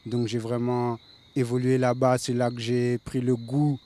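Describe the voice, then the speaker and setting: A man's voice: mid-range voice, flat delivery, a little slow, warm tone, slight background noise. A man in his late thirties from the Paris suburbs, a reggae singer, talking in a laid-back way with many fillers. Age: 30s. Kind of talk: casual interview.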